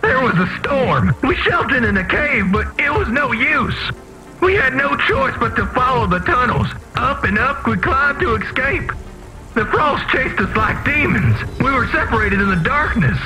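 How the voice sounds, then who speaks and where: An actor playing an earnest, masked refugee from underground vents in a video game, pleading in dramatic, formal wording. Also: male voice, very high voice, big pitch swings, a little slow, over music, full character voice, poor audio. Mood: harried, grave.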